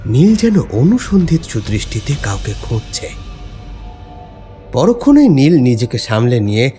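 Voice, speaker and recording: A man tells a scripted horror story as its narrator. His mid-pitched voice is dark and mellow, moving expressively through suspenseful, dramatic delivery. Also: wildly swooping pitch, unhurried, soft background music.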